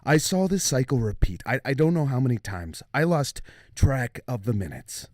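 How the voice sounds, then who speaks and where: A man playing a rattled, nerdy researcher of mysterious creatures, halting and stammering, in an audio drama field transmission. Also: medium pitch, sing-song, no echo, studio quality, natural voice. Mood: baffled wonder. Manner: halting, stammering, nervous chuckles.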